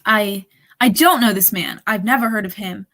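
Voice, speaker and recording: A woman's voice: mid-range voice, normal speed, fairly bright tone. A woman playing a haughty, possessive nightclub singer with imperious, melodramatic delivery in a radio drama. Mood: possessive, defiant. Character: Aisha, haughty, possessive female singer of the Casbah. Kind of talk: 1940s radio drama acting.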